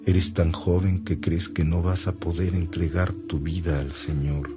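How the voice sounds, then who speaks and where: Deep-voiced, saintly old priest. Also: male voice, level tone. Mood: tender, fervent. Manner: solemn, fatherly, measured. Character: old saintly priest, tender, fervent mentor. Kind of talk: radio drama acting. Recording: loud music behind the voice.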